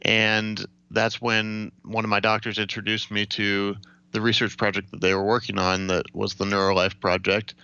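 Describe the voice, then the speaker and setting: An American man in his late twenties giving a measured, matter-of-fact account as an interview guest. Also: on the low side, little pitch movement, sharp tone, clear, resonant tone, muffled recording. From Ohio, United States. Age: late 20s. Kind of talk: recounting personal experience in an interview.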